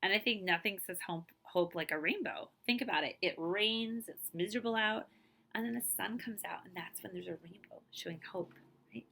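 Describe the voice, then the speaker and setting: A woman librarian reading a picture book aloud to children, with expressive, emphatic phrasing and casual asides. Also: mid-range voice, normal speed, creaky.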